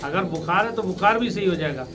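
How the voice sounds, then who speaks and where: A man with a Pakistani Urdu accent explains how to care for pigeons in a plain, instructive way, going over his points more than once. His voice sits high for a man, and the recording carries clear background noise. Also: animated, brisk. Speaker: pigeon keeper giving advice. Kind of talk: casual advice interview.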